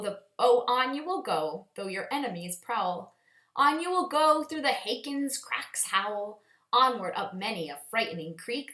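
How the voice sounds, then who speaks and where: A woman reading a children's picture book aloud in an expressive, dramatic, sing-song storytelling manner with emphatic pauses. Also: fairly high pitch. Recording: echoey room sound.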